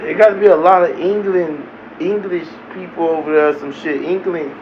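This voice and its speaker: A man hosting a live reaction stream, riffing in loose, profane banter straight at his viewers. His voice sits a little high and comes through muffled. There is steady noise behind him. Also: normal speed. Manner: animated, talks straight to viewers. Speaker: livestream reaction host. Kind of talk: casual profane reaction commentary.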